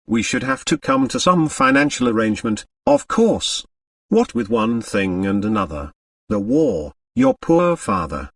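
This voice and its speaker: A man voicing an affected, grasping society lady, a mother who speaks in gushing, genteel tones of gentle reproach. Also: on the low side, sing-song, vocal fry, no echo, far from the mic, exaggerated voice. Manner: gushing, genteel, drawn-out endearments.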